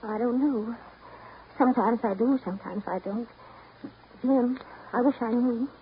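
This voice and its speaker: A woman's voice: fairly high pitch, a little slow. Anxious young woman, tinny old radio. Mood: torn. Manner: intimate, imploring, emotional. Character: anxious, pleading young woman torn over marriage. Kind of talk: 1930s radio drama acting. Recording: muffled, noisy 1930s radio broadcast recording.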